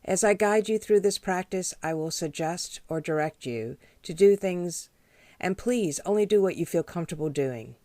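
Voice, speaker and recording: A woman leading a guided meditation as a teacher, speaking in a gentle, measured, instructional way. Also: lowish voice, expressive, no room sound. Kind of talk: guided body scan meditation.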